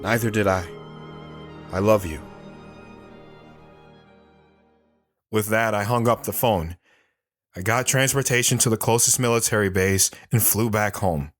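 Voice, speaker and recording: A man playing a guarded, stoic wandering veteran in an audio drama, telling his story in a somber, measured way with short, plain sentences. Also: lowish voice, a bit bright, lightly acted. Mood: tender, resolved. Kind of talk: dramatic first-person audio drama narration. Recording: music behind the voice.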